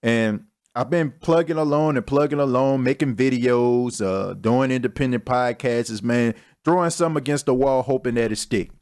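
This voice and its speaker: A man's voice: medium pitch, moderate pace, few pauses, darkish tone. A young man hosting his own podcast, talking in a candid, slangy, self-mocking way as a casual solo monologue. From Texas. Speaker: podcast host.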